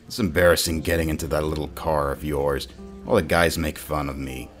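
Man voicing a very deep, hulking war-vet cop. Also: steady tone, brisk, fairly bright tone, faint music behind. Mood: sulky. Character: huge biologically modified war veteran cop, boastful, grumbling. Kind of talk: noir audio drama acting.

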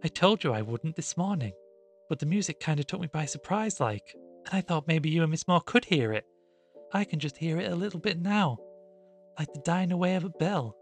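A man voicing a meek, dreamy, patient country woman with gentle rustic speech in a storybook reading. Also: on the high side, animated, normal speed, faint music behind, voice pushed up.

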